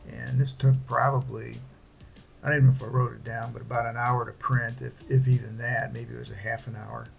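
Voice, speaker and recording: A man talking casually through a hobby project without a script, in a halting, plain-spoken way. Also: medium pitch, level tone, creaky, soft background music. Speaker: hobbyist presenter. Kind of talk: casual unscripted project walkthrough.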